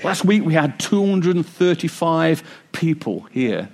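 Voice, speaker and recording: A man preaching a sermon in church, a bit croaky, with a joking, warm delivery. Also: a little high, big pitch swings, a little slow, slight background noise. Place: church hall with congregation. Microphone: wireless mic.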